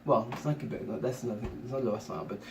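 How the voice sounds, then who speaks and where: A man's voice: medium pitch, fast. Rambling man from Congo, roomy sound. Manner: rambling, many restarts and fillers. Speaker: podcast co-host. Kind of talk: casual conversation. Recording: roomy, distant mic, faint noise.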